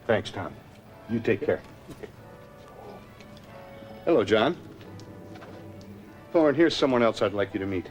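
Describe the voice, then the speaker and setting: A man's voice: on the low side, lively intonation, takes it slowly, pauses often, soft background music. A man playing a courteous doctor, polite and formal, in a scripted medical dramatization. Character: courteous male doctor introducing his patients.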